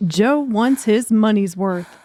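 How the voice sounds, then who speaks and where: A woman's voice: medium pitch, takes it slowly, a little mellow, dry recording. A woman reading dramatic scripted audiobook narration as the storyteller.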